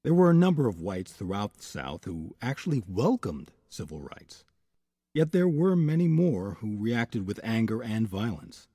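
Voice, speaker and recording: Guy narrating with music behind, husky lowish voice, expressive, earnest scripted host read. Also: normal speed, no echo. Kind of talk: scripted narration and closing credits.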